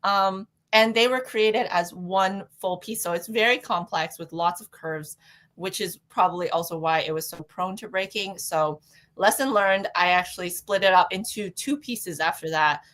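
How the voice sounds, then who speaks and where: A young woman with a fairly low voice speaks casually in a podcast interview. She is from Toronto, and Cantonese was her first language. She explains her work thoughtfully, pausing and restarting with plenty of fillers. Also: fairly bright tone, rough recording. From Toronto, Canada. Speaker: guest artist.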